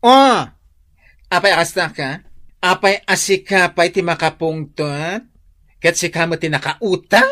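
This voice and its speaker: A woman's voice: lowish voice, unhurried, fairly bright tone. An actress playing an outspoken woman character in a radio drama. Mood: indignant.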